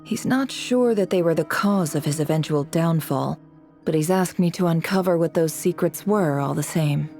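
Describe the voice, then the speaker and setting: A woman playing a shrewd, composed businesswoman in a video game, speaking in a measured, authoritative way. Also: sits low, a little mellow, faint music behind. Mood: cool, confident. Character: shrewd, composed businesswoman leading a secret ritual. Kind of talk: video game character dialogue.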